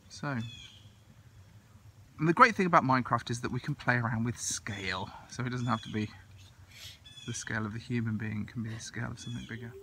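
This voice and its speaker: A British man in his forties talks to a handheld phone with a croaky, cold-roughened voice and a bright, forward tone. He rambles cheerfully with fillers, and faint outdoor noise sits behind him. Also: medium pitch. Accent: British English. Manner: chatty, thinking aloud with many fillers. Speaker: family vlogger. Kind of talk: casual vlog to camera. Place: outdoors in a large garden and meadow. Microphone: phone camera held at arm's length.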